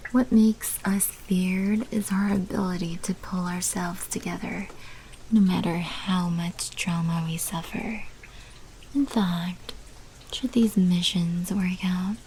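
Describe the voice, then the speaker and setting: A woman voicing a sly female vampire mafia manager in an ASMR roleplay, mostly in a breathy whisper with slow, theatrical delivery. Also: on the low side, warm tone, slight background noise, poor audio. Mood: coaxing, assured.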